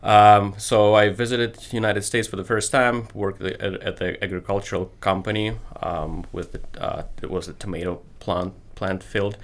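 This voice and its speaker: A man in his thirties from Ukraine with a Ukrainian accent, speaking English as a second language and answering interview questions haltingly with many ums. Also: lowish voice, steady tone. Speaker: guest, computer business owner. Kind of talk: casual interview.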